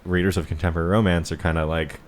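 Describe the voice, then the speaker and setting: A man's voice: low voice, flat delivery, rapid-fire, slight background noise. A man co-hosting a podcast, chatting casually about books in a rambling, joking way. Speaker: podcast co-host. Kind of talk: casual book chat with a friend.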